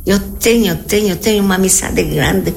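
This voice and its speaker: Elderly Argentine-accented woman, creaky voice. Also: mid-range voice, normal speed, a little mellow, a little hiss or hum. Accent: Argentine Spanish. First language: Spanish. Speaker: interview guest. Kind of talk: casual conversational interview answers.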